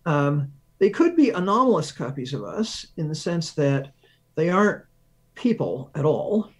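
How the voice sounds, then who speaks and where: A man being interviewed as a research physicist, explaining ideas through examples with thoughtful pauses. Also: fairly high pitch, very animated.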